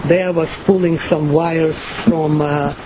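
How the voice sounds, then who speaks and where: An older woman from Ukraine with a Ukrainian accent, giving slow, halting personal testimony full of fillers and pauses. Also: low-pitched, fairly even intonation, mellow, a little hiss or hum, low-quality recording. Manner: many pauses and fillers, non-native phrasing. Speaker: survivor giving a talk.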